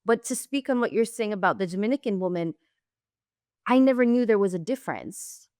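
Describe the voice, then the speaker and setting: A woman hosting a podcast, first generation in the US with Jamaican and Guyanese roots, talking in an animated, emphatic way. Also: mid-range voice, a little fast, dry recording, studio quality. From Jamaican and Guyanese heritage, first generation in the US. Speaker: podcast host. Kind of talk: conversational interview.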